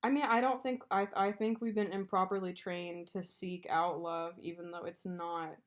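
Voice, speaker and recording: A young woman with a congested nose, co-hosting a casual chat, blunt, teasing and quick to swear. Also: lowish voice, fairly even intonation. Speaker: podcast co-host.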